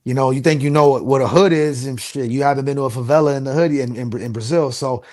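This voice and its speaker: A man with a Los Angeles accent, a rapper guest talking casually in an interview, full of street slang and quick restarts. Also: medium pitch, darkish tone. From USA.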